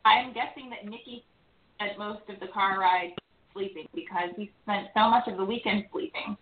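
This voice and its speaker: A woman's voice: medium pitch, steady tone. Woman host, chatty and teasing, tinny phone sound, roomy echo, faint hiss. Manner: chatty, teasing, quick asides. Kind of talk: casual recap show with callers. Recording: echoey, tinny phone line with faint noise.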